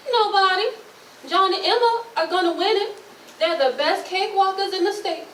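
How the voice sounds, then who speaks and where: A woman's voice: extremely high pitch, moderate pace, fairly bright tone. A woman acting an aloof, indignant woman in a stage play, with a dismissive manner. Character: aloof, indignant young Southern woman brushing off suitors. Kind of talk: readers theatre stage acting. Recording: echoey, distant, faint noise.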